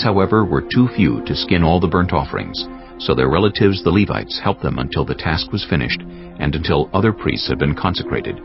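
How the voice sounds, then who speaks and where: A man narrating scripture from a script in a solemn, measured storytelling manner, as for a dramatized audio Bible. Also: a bass voice, vocal fry. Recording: slightly muffled, music underneath.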